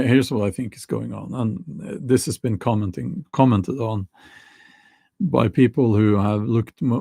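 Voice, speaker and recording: A middle-aged man with a Norwegian accent talking casually to camera, slow and halting with many restarts. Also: mid-range voice, vocal fry, mellow, no echo. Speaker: YouTuber.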